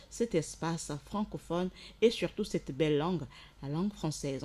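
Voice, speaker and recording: A woman hosting a radio show, explaining facts from her notes and sending loud greetings to listeners. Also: medium pitch. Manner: explanatory, rises in energy for shout-outs. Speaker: radio host.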